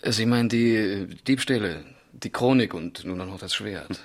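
An actor playing a polite, sceptical policeman and crime writer in a 1970s German radio drama, dryly ironic, with a husky, gravelly voice. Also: fairly low pitch, moderate pace.

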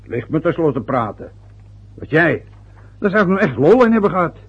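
A man's voice: on the high side, sing-song, normal speed, husky. An actor playing a stubborn, wounded older father who lectures and grumbles with hesitations, in a vintage radio play. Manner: aggrieved, lecturing, rambling with hesitations. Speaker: father of a newly married son. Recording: dull lo-fi old radio recording.

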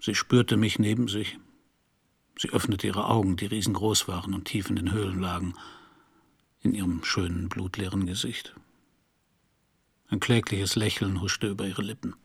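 An actor playing a broke, world-weary private detective, narrating and speaking in a subdued, melancholy, gravelly voice in a noir radio drama. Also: male voice, low voice, steady tone, normal speed, long pauses, heavily acted. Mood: somber, quiet. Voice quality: gravelly, husky. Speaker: narrating protagonist.

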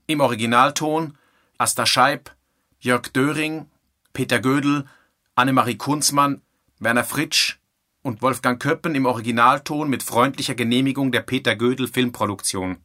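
A male announcer reads scripted credits for a radio play. His voice is bright and crisp-edged, at a middle pitch. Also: normal speed.

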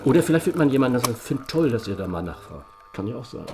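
A man in a spontaneous discussion, talking in a halting, digressive and insistent way. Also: medium pitch, very animated, noisy background.

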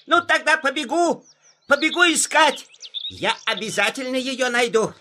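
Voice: high voice, moderate pace, bright, forceful tone. An actor voicing a naive, polite young hare in a children's audio play, earnest and childlike. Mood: delighted, eager.

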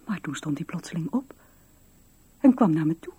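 An actress playing an impulsive eighteen-year-old girl in love in a radio play, breathy and a little hesitant. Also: low-pitched, animated, moderate pace, mellow, heavily acted. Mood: excited, confiding. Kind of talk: radio drama recollection and dialogue.

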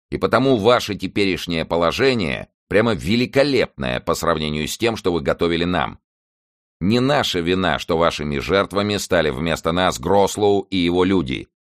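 A man voicing a bold, sharp-tongued musketeer who speaks with cold scorn and sarcasm. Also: deep voice, dry recording, studio quality, pitched above the performer's own voice.

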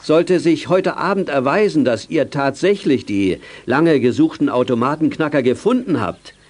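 An actor playing a kindly, jovial grown-up who helps child detectives in a 1980s children's audio drama. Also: male voice, fairly high pitch, expressive, normal speed, darkish tone. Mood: kindly, explaining. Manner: jovial, avuncular.

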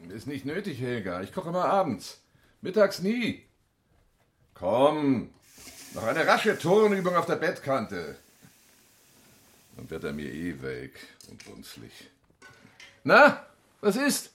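An actor playing a middle-aged, lustful and wounded sardonic lover in a German radio play. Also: male voice, mid-range voice, wildly swooping pitch, slow pace, long pauses, a bit bright, exaggerated voice. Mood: eager, lustful.

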